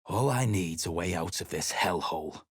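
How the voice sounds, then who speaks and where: An actor playing a gruff, bitter British adventurer in a video game, curt and dismissive, with a rough voice. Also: male voice, low-pitched, expressive, moderate pace, no room sound. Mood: bitter, surly. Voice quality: husky, rough. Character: gruff, bitter adventurer man, surly and battle-worn.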